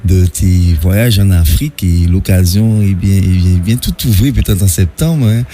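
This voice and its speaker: A male radio interviewer speaks casually. His voice is fairly low, dark and relaxed, with light music behind it. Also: moderate pace.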